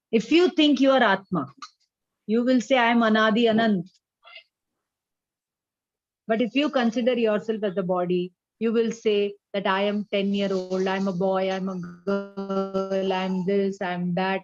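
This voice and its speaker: Woman, Indian accent, religious teacher, didactic and deliberate, dry lo-fi recording. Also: medium pitch, darkish tone, no echo. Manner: didactic, deliberate, checks understanding with questions. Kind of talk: spiritual class lecture.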